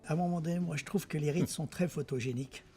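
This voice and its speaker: A film director speaks reflectively in a casual interview, in a slightly high, gravelly voice with a dark, mellow tone. He hesitates and repeats words as he searches for what to say. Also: quick pace, faint music behind.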